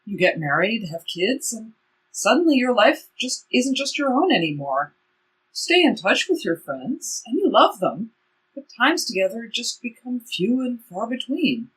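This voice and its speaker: A woman's voice: on the high side, very animated, unhurried, fairly bright tone, slightly rough, exaggerated voice, poor audio. A woman playing a very old, polite and wistful woman in a stage play reading.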